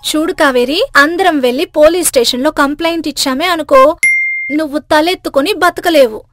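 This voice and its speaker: An indignant neighbour woman from a cartoon tale, acted out by a woman. She scolds in a very high voice, with quiet music behind. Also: moderate pace, lightly acted. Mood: accusing. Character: indignant, scolding neighbour women confronting a thief.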